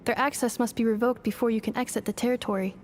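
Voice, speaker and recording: Brief: actress as a reflective artificial girl, slightly high, quiet and earnest, faint noise. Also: fairly even intonation, quick pace, close to an everyday voice. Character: thoughtful artificial girl seeking belonging.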